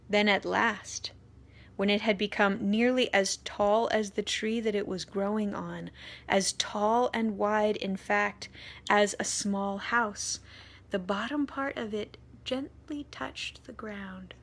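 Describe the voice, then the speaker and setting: A woman reading a children's story aloud expressively, deliberate in manner, with dramatic pauses. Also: mid-range voice, steady tone, gravelly. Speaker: storytime reader, priest.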